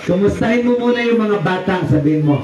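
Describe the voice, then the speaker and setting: A man's voice: sits high, animated, fast talker, muffled recording, a crowd in the background. A man with a Filipino accent performing as a magician and ventriloquist, playfully coaxing and scolding in a live children's comedy show. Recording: echoey, noisy live show room.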